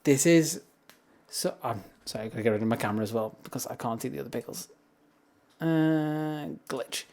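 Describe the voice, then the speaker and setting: A man chats in a loose, rambling way on a livestream. His voice is deep and grumbly, and he keeps it low instead of projecting, so a close, high-gain mic picks up every soft word. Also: normal speed. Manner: rambling, chatty, soft-spoken without projecting. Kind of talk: casual livestream chat. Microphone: high-gain streaming mic up close.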